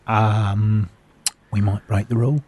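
A man's voice: fairly low pitch, flat delivery, takes it slowly, darkish tone, breathy edge. A man hosting a radio phone-in, teasing and playful with the caller. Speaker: radio host.

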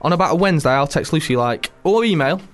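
A man's voice: fairly high pitch, lively intonation, quick pace. A man with a Stoke-on-Trent accent, hosting a radio show and teasing his co-host in casual chat. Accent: Stoke-on-Trent English. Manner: teasing, chatty.

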